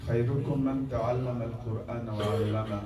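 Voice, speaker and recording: A man preaching a Friday sermon as imam in a mosque, building to emphatic raised phrases and reciting Arabic verses in quick chanted runs. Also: mid-range voice, steady tone. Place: mosque prayer hall. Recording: echoey hall from far off, crowd behind.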